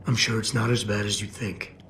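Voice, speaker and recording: A man acts out a cybernetic agent, stoic and controlled. The voice is low and the delivery terse and deadpan. It is video game drama over faint background ambience. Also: brisk, a little hiss or hum, natural voice. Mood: controlled concern.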